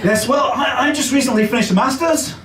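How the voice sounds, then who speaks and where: An English man with a fairly high voice performs a stand-up set, chatty and self-deprecating, often pausing on little fillers. His voice rings around an echoey basement club and sounds a bit far from the mic. A faint room hum sits underneath. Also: a loud crowd. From England. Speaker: stand-up comedian.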